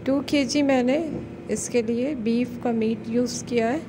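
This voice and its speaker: A woman hosting a cooking channel, calmly talking through a recipe step by step in a voice-over. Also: fairly high pitch, a little slow, background noise. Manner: calm step-by-step instructions.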